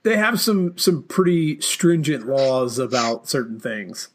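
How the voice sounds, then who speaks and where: A man's voice: mid-range voice, sing-song, takes it slowly. A man from the Southern US hosting a podcast, chatty and self-deprecating in casual conversation. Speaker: podcast host.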